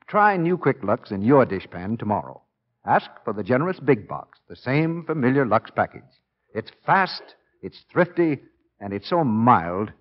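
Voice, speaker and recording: Animated American man, vintage radio announcer. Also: mid-range voice. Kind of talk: 1940s radio announcing and advertising. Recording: muffled 1940s radio broadcast.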